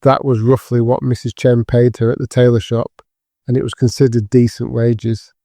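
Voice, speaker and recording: A man's voice: medium pitch, level tone, normal speed, dark tone, no echo. A man reading scripted narration for an audio drama.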